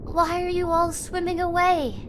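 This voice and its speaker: A woman's voice: very high voice, flat delivery, normal speed, a bit bright, slight background noise. A woman voicing a young shark girl idol, bitter and wounded, in a theatrical, dramatic drama delivery. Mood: bitter, taunting.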